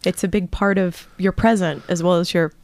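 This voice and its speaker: A woman's voice: fairly low pitch, fairly even intonation, fairly quick, darkish tone, no echo. A woman interviewing a guest in a friendly, chatty way, often breaking into laughs. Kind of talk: casual interview conversation.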